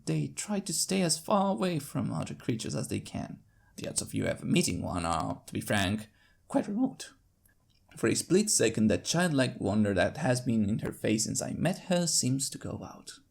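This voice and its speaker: A woman reading a story as narrator, with an expressive, dramatic storytelling delivery. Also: a bass voice, gravelly. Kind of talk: expressive scripted fan-fiction narration.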